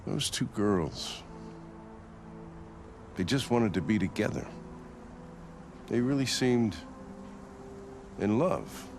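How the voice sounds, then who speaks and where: An actor playing a gruff, weary, hard-drinking male police lieutenant who speaks bluntly and sardonically, in a video game cutscene. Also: on the low side, expressive, slow, pauses often, full character voice. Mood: brooding. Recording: game score music behind. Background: music.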